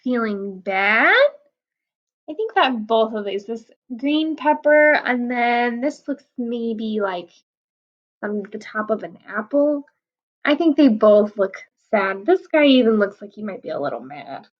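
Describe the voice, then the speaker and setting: A woman reading a picture book aloud to children, explaining the pages in a gentle, patient way. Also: on the high side, animated, a little slow, muffled recording.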